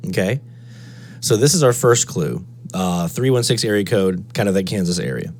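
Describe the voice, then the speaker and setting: Low-pitched male tutorial presenter, casual, reasoning aloud step by step, slight hum and noise behind. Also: expressive, moderate pace, darkish tone. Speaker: presenter of a radio DXing tutorial. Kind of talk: casual screen-walkthrough tutorial.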